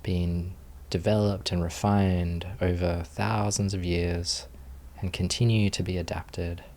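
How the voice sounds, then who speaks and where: A man leading a guided meditation as a teacher, speaking calmly and soothingly with long pauses. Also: low voice.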